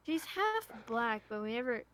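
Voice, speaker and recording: A woman with a fairly high voice co-hosts a casual livestream. She talks informally, and there is faint noise behind her. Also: big pitch swings, normal speed, fairly bright tone. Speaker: stream co-host.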